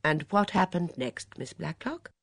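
Audiobook role: calm, probing male inspector performed by a female reader, measured questions, husky touch, dry sound. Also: fairly low pitch, no echo, heavily acted. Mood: measured, quiet. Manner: deliberate, questioning. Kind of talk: audiobook character dialogue.

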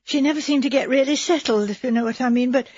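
A woman's voice: high voice, fast talker, a little mellow, husky, no room sound, muffled recording, pitched above the performer's own voice. A woman doing an anxious young housemaid. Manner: breathless, pleading, rambling.

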